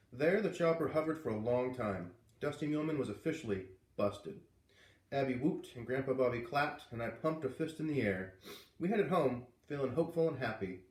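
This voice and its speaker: Man reading a children's book aloud. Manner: lively, voices characters with big pitch jumps. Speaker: reader reading aloud to kids. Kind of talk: children's book read-aloud with character voices. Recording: roomy, distant from the mic.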